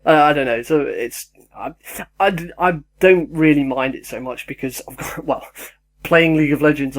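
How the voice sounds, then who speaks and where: A man's voice: medium pitch, vocal fry. A young man, rambling casually and self-deprecatingly in his home kitchen as a YouTuber. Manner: chatty, sidetracked, self-deprecating.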